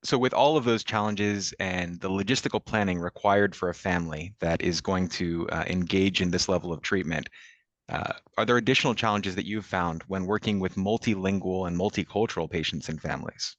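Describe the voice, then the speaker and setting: Male interviewer, very low voice, measured questions, dry and slightly dull recording. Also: dry recording. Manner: measured, asks long careful questions. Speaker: interviewer, training manager. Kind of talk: interview conversation.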